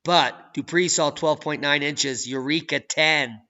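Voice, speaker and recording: A man hosting a channel, reading news and weather maps with sarcastic, profane commentary that swings from low mutters to loud outbursts. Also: measured pace, lo-fi sound. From United States. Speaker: channel host.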